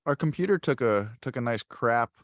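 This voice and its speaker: A man of middle pitch tells stories at length in loose, animated chat, full of fillers and restarts. His voice sits dry and close to the mic. He sounds relaxed and conversational as he hosts. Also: moderate pace, no room sound. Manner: rambling, animated storytelling with many fillers. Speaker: podcast host. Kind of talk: casual chat.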